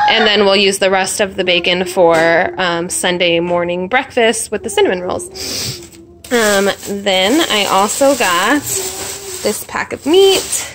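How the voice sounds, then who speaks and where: A woman's voice: medium pitch, expressive, unhurried, a bit bright, slight background noise. A young woman chatting casually at home in her kitchen, rambling with lots of fillers and self-deprecating asides while filming on a phone. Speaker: vlogger. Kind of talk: casual grocery haul chat.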